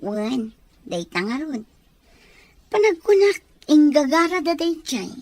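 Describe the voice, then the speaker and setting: A woman's voice: high-pitched, sing-song, slow pace, darkish tone, dry recording, exaggerated voice. A woman acting a troubled, suspicious daughter in a radio drama, with an Ilocano accent. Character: Margaret, troubled daughter suspecting a land grab.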